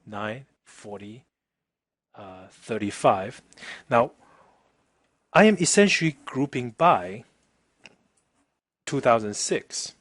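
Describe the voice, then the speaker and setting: A man teaching a casual software demonstration, informal and chatty, often checking in with the listener, with a husky voice. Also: mid-range voice, lively intonation, takes it slowly, pauses often, no echo. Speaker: instructor.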